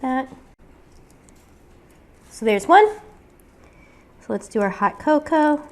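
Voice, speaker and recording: A woman's voice: sits high, lively intonation, slow. A woman with a weak voice from getting over a cold, chatting casually through a step-by-step crafting tutorial with frequent fillers.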